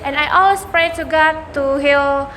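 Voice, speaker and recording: A young woman in her late teens, a nursing student guest, answering in a composed, steady way. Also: high voice, a little slow, slight background noise, poor audio. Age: young adult.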